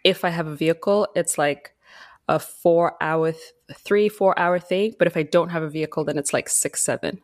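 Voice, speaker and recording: A woman's voice: fairly low pitch, steady tone, normal speed. A woman from Zimbabwe, a guest on a podcast, chatting casually, laughing easily and sounding a little tired. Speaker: podcast guest, mining engineer. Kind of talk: casual interview chat.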